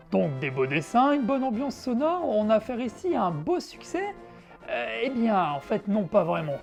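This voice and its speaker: Female presenter, mid pitch, soft-edged tone, conversational scripted review with light music underneath. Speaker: host of a retro video game show. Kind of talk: scripted review narration with casual asides.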